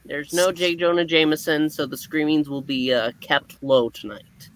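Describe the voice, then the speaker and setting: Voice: mid-range voice, steady tone, normal speed. A co-host presenting a live comic book reading in a showy, theatrical announcer style with sudden emphatic bursts. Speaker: co-host and reader of a comic book puppet show.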